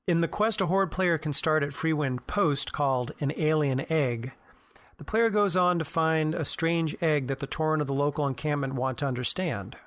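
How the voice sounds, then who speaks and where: A man's voice: on the high side, a bit bright, no echo. A man presenting a prepared commentary on fantasy game lore for a podcast, in explanatory fashion.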